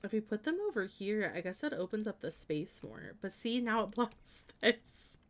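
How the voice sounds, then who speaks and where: A woman's voice: medium pitch, sing-song, normal speed. A woman streamer chatting casually with viewers as she plans out a house, thinking aloud in a hesitant, meandering way with many trailing restarts. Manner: chatty and friendly when greeting and answering viewers. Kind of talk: casual live-stream chat while building in a life-sim game.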